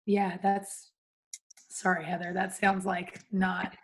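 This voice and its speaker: A woman's voice: on the low side, little pitch movement, takes it slowly. A young woman co-hosting a casual chat and reading listener answers aloud, playful and casual with swearing, tired after a long workday. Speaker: podcast co-host.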